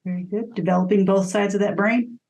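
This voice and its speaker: A woman's voice: mid-range voice, fairly even intonation, brisk, creaky, darkish tone. A woman co-hosting a casual interview, asking questions and giving short responses. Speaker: interview co-host.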